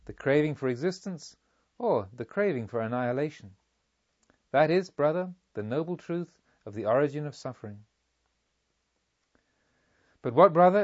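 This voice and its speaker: A slightly dull, dry recording of a serene spiritual master as a male narrator reads him. He teaches a disciple in a storybook, solemn and deliberate, with emphatic rhetorical phrasing and a slightly high male voice. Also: a little mellow, no room sound.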